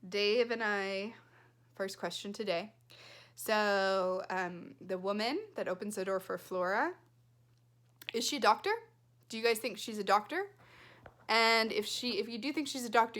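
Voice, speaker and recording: A woman's voice: mid-range voice, normal speed, fairly bright tone. A woman reading a storybook aloud expressively, with dramatic emphasis and casual asides. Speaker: read-aloud reader.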